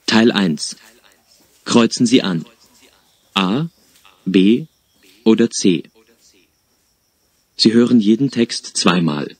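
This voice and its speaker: A man reads out listening-test instructions in a formal, measured manner. His voice sits low and he takes his time. The studio sound is dry, with no room echo. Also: animated. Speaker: exam announcer. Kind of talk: scripted exam instructions.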